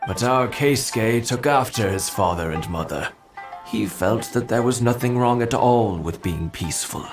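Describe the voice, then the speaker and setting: Reflective, gentle old husband played by a man. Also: mid-range voice, animated, moderate pace, natural voice. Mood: pensive. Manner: hesitant, reflective. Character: gentle elderly husband, nostalgic and thoughtful. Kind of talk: video game voice acting. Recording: music under the dialogue.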